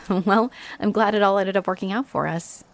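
A woman playing a friendly, flirtatious halfling orc adventurer in a roleplay audio, speaking straight to the listener in a warm, playful, expressive way. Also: medium pitch, normal speed, natural voice. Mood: cheerful, playful.

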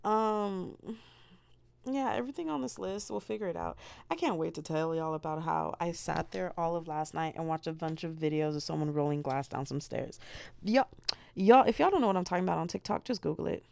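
A woman's voice: on the low side, brisk, vocal fry, darkish tone, smooth, no room sound. A young American woman hosting a solo podcast, rambling and joking at herself with giggles. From United States. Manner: rambling, self-deprecating, giggly. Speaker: podcast host.

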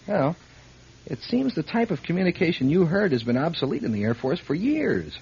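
An actor playing a loyal, eager, deferential airline co-pilot in a classic radio drama, with a hesitant, stammering and casual way of talking. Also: male voice, on the high side, big pitch swings, moderate pace, creaky, darkish tone, slightly rough, full character voice. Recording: dull lo-fi old radio recording.

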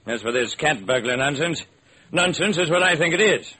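A man's voice: a little high, animated, moderate pace, sharp tone, slightly rough, exaggerated voice. An actor playing a firm, businesslike male company owner in a classic radio crime drama. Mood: firm, formal.

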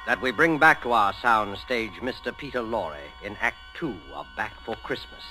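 A man's voice: lowish voice, lively intonation, moderate pace, poor audio. A man introducing a suspense drama as a 1940s radio announcer. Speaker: programme announcer. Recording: old radio broadcast over loud music.

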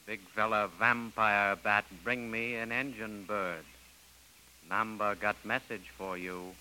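An actor playing a mercenary, scheming native canoe guide in an old radio serial, speaking terse broken pidgin English on a hissy recording. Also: male voice, on the low side, level tone, takes it slowly, bright, forceful tone, exaggerated voice, poor audio. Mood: conspiring. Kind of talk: 1930s radio serial acting.

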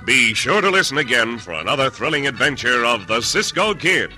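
A man's voice: medium pitch, big pitch swings, fairly quick, pushed and bright. A man announcing an old-time radio adventure show in a dramatic, scripted style. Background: organ music.

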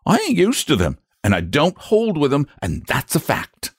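A man's voice: fairly high pitch, huge pitch swings, moderate pace, breathy edge, no echo, studio quality, pitched above the performer's own voice, full character voice. A man voicing a nervous, indignant country shepherd in a storybook, rambling in rustic dialect with emphatic repetitions. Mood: uneasy, bewildered.

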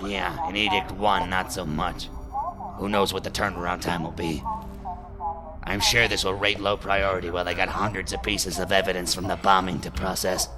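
A man with an American accent playing a gruff, cynical police detective in an audio drama, with a blunt, sardonic, needling way of talking. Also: low voice, flat delivery, moderate pace, lightly acted. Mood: grumbling, cynical. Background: loud music.

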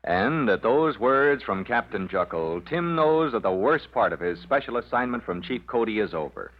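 A man's voice: medium pitch, animated, normal speed. A man announcing and narrating an old radio serial in a dramatic scripted style. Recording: muffled vintage radio recording.

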